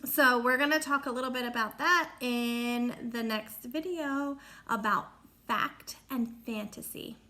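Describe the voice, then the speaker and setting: A woman reading a picture book aloud like a teacher, speaking deliberately to young listeners and explaining as she goes. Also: on the high side, bright, forceful tone. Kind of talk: read-aloud storytelling with teaching asides.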